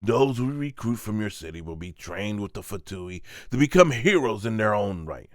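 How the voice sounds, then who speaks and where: A man's voice: fairly low pitch, very animated, moderate pace, no echo, studio quality. A man voicing an oily, scheming diplomat in a fantasy manga dub, formal and insistent. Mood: smooth persuasion. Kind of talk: dramatic manga voice-over.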